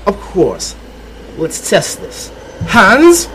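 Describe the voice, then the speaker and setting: Man playing an animated, eccentric inventor. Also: high-pitched, drawn-out words, a little hiss or hum, full character voice, rough recording. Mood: commanding. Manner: theatrical, teasing, rhetorical questioning. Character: eccentric, showy male inventor, gleeful and teasing. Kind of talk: dramatized audiobook acting.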